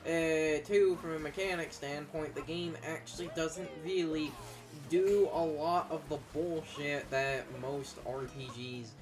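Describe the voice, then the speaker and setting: A man co-hosting a gaming video, giving opinionated commentary in a casual, halting, rambling way. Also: on the high side, steady tone, over music. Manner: rambling, halting, circles back to his point.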